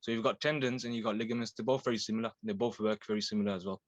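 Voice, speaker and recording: A young man, twenty-one, explains fitness in an informal online talk, pausing on fillers and correcting himself. His voice sits a bit low for a man. The call audio is dull and dry, with no room around it. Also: steady tone, dry recording. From Belgium. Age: 20s. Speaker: Thai boxing coach.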